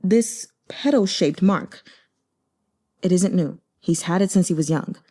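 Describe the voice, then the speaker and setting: A woman's voice: fairly low pitch, a little slow, dark tone. A woman voicing a cold, condescending, money-loving male master physician in an audiobook story, curt and unruffled. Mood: thoughtful.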